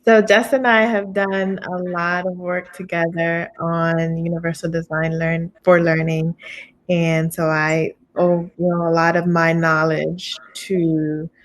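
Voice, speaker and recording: A woman in her thirties hosts a webinar over a video call. She talks in a chatty, animated way and reads out audience questions. Her voice sits slightly low. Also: measured pace. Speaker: webinar host. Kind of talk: webinar talk.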